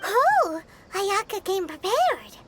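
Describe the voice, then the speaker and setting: Game companion: tiny squeaky childlike sprite girl, extremely high, animated and eager, music underneath. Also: slow pace, exaggerated voice. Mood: chirpy. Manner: perky, eager, speaks of self in third person. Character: tiny, chirpy, food-loving childlike floating companion girl. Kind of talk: video game character acting. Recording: music playing behind the voice.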